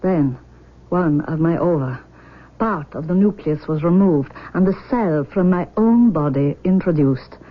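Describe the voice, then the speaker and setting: An actress in a 1970s radio drama playing an embittered, unyielding mother, with intense, measured phrasing. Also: low-pitched, lively intonation. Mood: solemn, insistent. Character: embittered, unyielding mother demanding her daughter back. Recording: muffled old radio broadcast.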